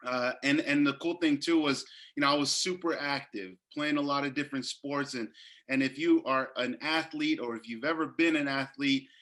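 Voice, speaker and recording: A man's voice: mid-range voice, fairly even intonation, moderate pace, fairly bright tone. A man in his thirties from Northern California, a health coach telling his story in a casual interview, earnest and full of restarts. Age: 30s. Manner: earnest, many fillers and restarts.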